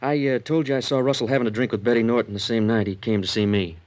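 An actor playing a cautious, plainspoken deputy sheriff in a 1950s radio drama. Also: male voice, medium pitch, steady tone, fast, darkish tone, natural voice. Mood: wary. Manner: wary, plainspoken. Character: cautious male small-town deputy sheriff.

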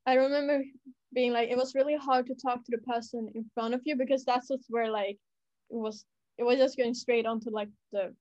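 Girl with a kinda high voice rambling thru a story, lots of "like"s, super casual chat. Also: darkish tone, highs cut off. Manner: rambling, filler-heavy storytelling. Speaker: student recounting school life.

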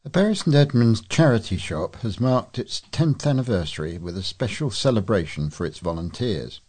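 Bloke reading local news off a script, kinda raspy and fairly low. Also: male voice, lively intonation, moderate pace, a little mellow. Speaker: volunteer local news reader.

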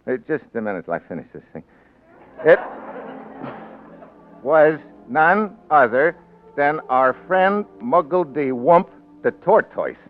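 An actor playing a rough, plain-spoken politician and awkward husband in a 1940s radio drama, with an American accent and colloquial, gruff speech. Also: male voice, a little high, sing-song, takes it slowly, long pauses. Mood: folksy storytelling. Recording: tinny old radio recording with music.

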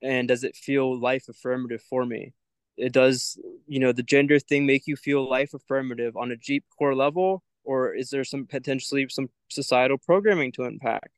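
A man's voice: medium pitch, fairly even intonation, normal speed, smooth, dry recording. A young man as a podcast guest, talking in a thoughtful, searching way with many "like" fillers. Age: young adult. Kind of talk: reflective spiritual conversation.

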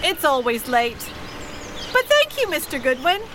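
An actress playing a genteel older society widow in an audio drama, polite, chirpy and refined. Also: female voice, high-pitched, very animated, measured pace, noisy background. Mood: cheerful, polite. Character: genteel, busy English society widow, bright and chatty.

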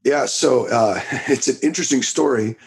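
A man in his thirties from Florida, a preacher telling his story in a casual interview, with a raspy voice, talking loud and fast with many restarts. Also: medium pitch. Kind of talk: casual testimony in a podcast interview.

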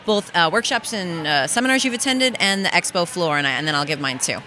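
Quick, bright-voiced enthusiastic woman. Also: fairly low pitch, lively intonation, slight background noise. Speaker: guest, fitness coach. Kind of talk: casual interview chat. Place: busy expo floor.

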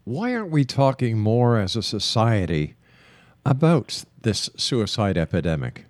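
A man's voice: on the low side, big pitch swings, unhurried, no room sound. A man hosting a radio interview in a polished, emphatic broadcaster's delivery. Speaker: radio talk show host.

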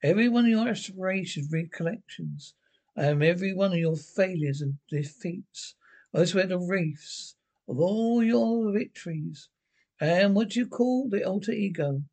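A man playing a mocking male alter ego that speaks in a sly, drawn-out, taunting way. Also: high-pitched, lively intonation, creaky, a little mellow, full character voice. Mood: smug. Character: mocking male inner voice, smug and knowing.

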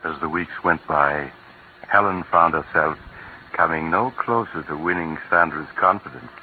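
A man giving measured, grave scripted narration for a vintage radio drama. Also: very deep voice. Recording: tinny, hissy old radio broadcast.

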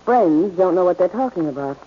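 A woman's voice: lowish voice, lively intonation, brisk, natural voice. An actress playing a tough, defiant female crime boss in 1940s radio style, curt and hard-edged. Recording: muffled, noisy old radio recording.

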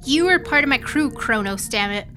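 High-voiced, tough spaceship captain. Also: female voice, animated, normal speed, bright, forceful tone, faint music behind. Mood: fierce loyalty. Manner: blunt, gruff, clipped commands. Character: tough, guarded female spaceship captain with a hidden soft side. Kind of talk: science fiction radio drama acting.

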